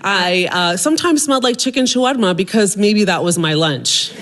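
A middle-aged woman from suburban Maryland tells a personal story onstage in a self-mocking, comic way. Her voice sits at an ordinary pitch for a woman, and her timing carries the jokes. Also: animated, normal speed, a bit bright. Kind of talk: live humorous personal storytelling.

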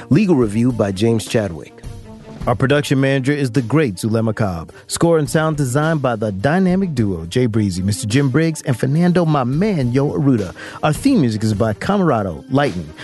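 A man's voice: mid-range voice, very animated, moderate pace, a little mellow, soft background music. A man hosting a show, reading scripted closing credits in a playful, emphatic manner. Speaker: podcast host.

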